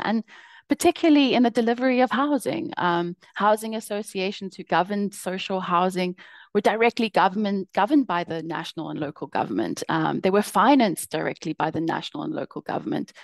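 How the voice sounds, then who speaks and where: A young woman presenting her research over slides on a video call, explaining in a measured way with occasional fillers and restarts. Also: lowish voice, dry recording.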